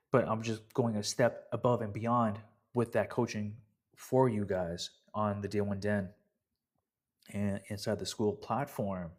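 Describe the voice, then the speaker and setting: A man's voice: lowish voice, darkish tone. A man from Vancouver with a Canadian accent, a fitness coach talking casually to his members in a rambling, conversational way and often circling back to repeat himself. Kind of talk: casual explainer talk to members.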